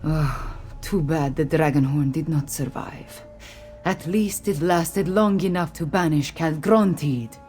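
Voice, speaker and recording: A regal Khajiit warrior queen for a video game. Her voice is low and soft-edged, with formal, measured, earnest phrasing and a weary weight. Faint music plays underneath. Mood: earnest, solemn.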